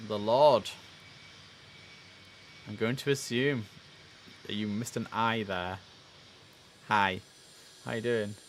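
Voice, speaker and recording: A man's voice: on the low side, fairly bright tone. A man live streaming as an air traffic controller, mixing chatty muttered asides with clipped, formal radio-style instructions.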